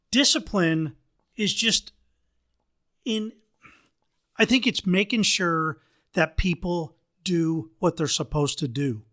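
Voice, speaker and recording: A middle-aged man from the United States hosting a solo leadership podcast, a bit hoarse from allergies, talking earnestly and often restarting phrases. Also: high-pitched, a bit bright. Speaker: podcast host.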